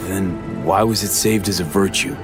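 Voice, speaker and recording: An actor playing a blunt, streetwise mercenary and supportive friend in a video game, speaking colloquially. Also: male voice, low voice, normal speed. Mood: concerned. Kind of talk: naturalistic video game acting. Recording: game dialogue under clear background music.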